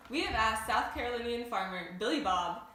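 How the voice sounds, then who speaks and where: A woman's voice: fairly high pitch, expressive, brisk, pushed and bright. Teen girl as news anchor, very echoey room. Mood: formal. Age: teenager. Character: formal female studio news anchor in a skit. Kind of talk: scripted newscast reading. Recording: very echoey room, distant mic, faint noise.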